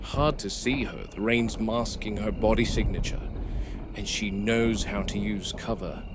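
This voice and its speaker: An actor playing a wary, weary male game warden at a dinosaur park, narrating a horror audio log in a measured, grave way. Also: on the low side, natural voice. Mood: wary, tense. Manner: measured, grave, deliberate field commentary. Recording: noisy VHS-style field recording with outdoor ambience.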